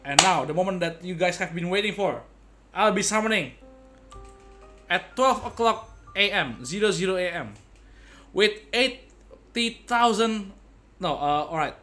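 Youngish guy streaming a game, kinda high voice, slow-ish playful chatter, music in the background. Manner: excitable, playful showman talking to viewers. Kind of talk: casual gaming commentary. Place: indoor gaming setup at home.

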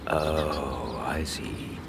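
An actor playing a genial English gentleman in a radio drama, earnest and gently chiding. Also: a bass voice, drawn-out words, noisy background, exaggerated voice.

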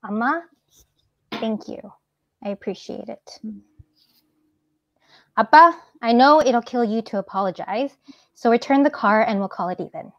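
An exasperated, defensive grown daughter. She speaks sharply in a fairly high voice, and the video call sound is a bit muffled. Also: a little slow, pauses often. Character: frustrated adult daughter, defensive.

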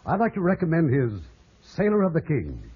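A man's voice: on the high side, huge pitch swings, fairly quick, slightly rough. A man hosting a 1950s radio show with scripted banter and announcements. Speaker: radio show host and producer. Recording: muffled old radio recording.

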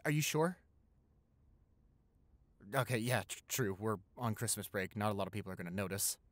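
A man's voice: high voice, a bit bright, dry recording. A man playing an affectionate, easily flustered young boyfriend in an improvised intimate roleplay, chatty and tender, stammering when flustered. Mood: panicked, apologetic.

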